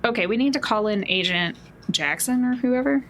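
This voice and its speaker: A woman's voice: a little high, lively intonation, normal speed, crowd noise. A woman playing a tabletop role-playing game, talking casually in a wry, informal way.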